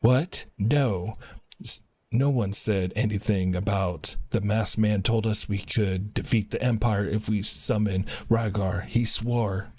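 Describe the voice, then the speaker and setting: A man voicing an eager, defensive young man from a fantasy game, halting as he protests. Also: fairly low pitch, darkish tone, breathy edge, no room sound.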